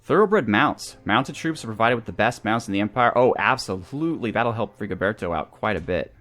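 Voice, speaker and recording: Low-voiced man doing game commentary. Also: animated, brisk, a bit bright. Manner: thinking aloud, weighing options. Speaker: host of a gaming series. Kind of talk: casual video game playthrough commentary. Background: background music.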